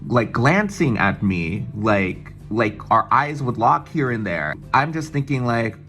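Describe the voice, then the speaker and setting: A young man from the United States telling an excited, swearing storytime vlog and mimicking other people's voices as he goes. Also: mid-range voice, a little slow, faint music behind. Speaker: vlogger. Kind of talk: casual storytime chat.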